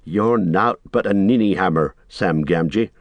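A man voicing a loyal, excitable hobbit servant who chatters anxiously in rustic, deferential dialect, as in an audiobook. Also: deep voice, a little slow, no room sound, muffled recording. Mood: excited rambling.

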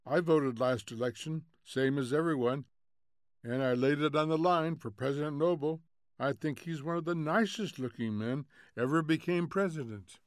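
A man's voice: on the high side, normal speed, clear, resonant tone, no room sound, pitched above the performer's own voice, lightly acted. A flighty society housewife, acted out by a male audiobook reader, prattles with complacent ease. The delivery is gossipy and smug, in a dry, close recording. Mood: pleased. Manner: gossipy, self-satisfied prattle. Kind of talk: audiobook character voice.

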